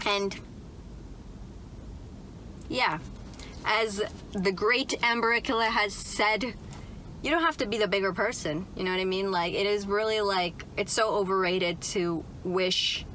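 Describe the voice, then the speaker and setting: A young woman talks straight to her phone from the seat of a car. Her voice sits at an ordinary middle pitch, and she rambles in a friendly, upbeat way, tagging thoughts with "like" and "right?". A faint hiss sits under the recording. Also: a little slow, pauses often, fairly bright tone, poor audio. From Canada. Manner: chatty, upbeat, full of "like" and "right?". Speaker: vlogger. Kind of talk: casual chat to camera. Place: inside a parked car.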